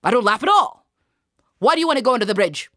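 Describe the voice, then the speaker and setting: A woman voicing a gruff, scornful male soldier on guard in an oral folktale, with an emphatic, blustering, commanding manner. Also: a little high, lively intonation, fast talker, a bit bright, no room sound, clean studio sound, voice pushed up. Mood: indignant. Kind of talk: theatrical oral storytelling.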